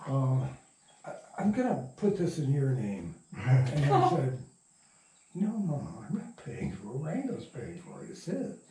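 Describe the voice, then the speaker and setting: A man's voice: medium pitch, huge pitch swings, warm tone, slight background noise. An older man reminiscing in a casual interview, rambling and often interrupting himself. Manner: halting, rambling, self-interrupting. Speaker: interviewee, radio play writer and producer. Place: room in a house. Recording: roomy echo, distant from mic.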